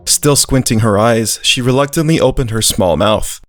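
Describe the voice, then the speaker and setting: An actor voicing a sweet, naive, excitable male pit bull who talks and narrates in a visual novel. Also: medium pitch, normal speed, soft background music, lightly acted. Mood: gentle narrating. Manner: eager, chirpy, childlike wonder. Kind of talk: character dialogue and first-person narration in a visual novel.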